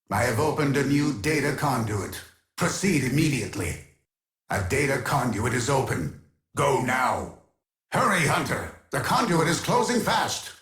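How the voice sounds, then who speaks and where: A man's voice: mid-range voice, fairly bright tone, full character voice. A man voicing a cold, imperious keeper of a data sanctuary in a science-fiction game, formal, clinical and commanding, with echoing processing on the voice. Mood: urgent, impatient. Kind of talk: scripted video game character voice acting.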